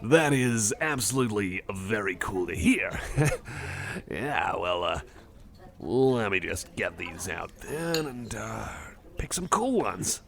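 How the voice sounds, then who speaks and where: A man's voice: lowish voice, very animated, slow, vocal fry, fairly bright tone, full character voice. A man voicing a shy, nerdy, eager cyborg card collector in an ASMR roleplay, chatting casually in a hesitant, rambling, apologetic way. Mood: relieved, flustered. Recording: cafe crowd murmur behind the voice.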